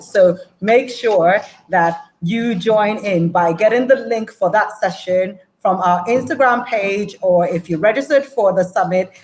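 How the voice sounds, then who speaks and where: A woman's voice: medium pitch, a little mellow. A woman with a Nigerian accent hosting an online event in a formal, effusive manner. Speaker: event host. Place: online video call.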